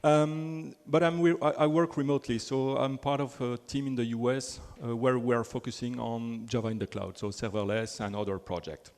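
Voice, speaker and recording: A man's voice: mid-range voice, flat delivery, normal speed, few pauses, a little mellow. French-accented man presenting in a hall. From Belgium. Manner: chatty, self-deprecating, frequent fillers and restarts. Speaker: conference speaker. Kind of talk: technical conference talk. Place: large conference hall. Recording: hall with long reverb.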